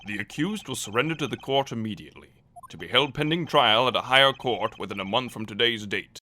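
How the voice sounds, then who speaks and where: Man acting a bright, expressive old judge. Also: medium pitch, brisk, a little hiss or hum. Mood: solemn. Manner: formal, deliberating, a little flustered. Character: dignified but easily swayed elderly male courtroom judge. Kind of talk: amateur video game fandub acting.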